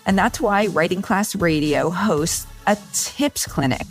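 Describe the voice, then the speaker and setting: A woman's voice: on the low side, a little slow, soft background music. A middle-aged woman presenting a scripted podcast promo, addressed straight to listeners.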